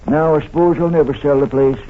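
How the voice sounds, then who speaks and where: A man's voice: fairly high pitch, steady tone, quick pace, close to an everyday voice. A man playing a folksy, blustery country police chief with a rustic drawl, in old radio drama style. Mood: flustered. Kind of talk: 1940s radio acting. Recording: tinny, muffled vintage radio recording.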